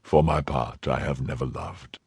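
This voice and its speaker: A man's voice: sits low, lively intonation, unhurried, darkish tone, breathy edge, dry recording, studio quality, exaggerated voice. A man voicing a weary, hard-drinking nobleman musketeer who speaks in a languid, dismissive way.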